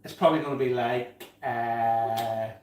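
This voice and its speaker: A man's voice: mid-range voice, fairly even intonation, unhurried, darkish tone, a little hiss or hum. A man, hosting a casual home-brewing livestream chat and reading out viewer comments. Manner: chatty, reads out viewer comments, laughs easily. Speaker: livestream host. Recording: echoey room, distant from mic.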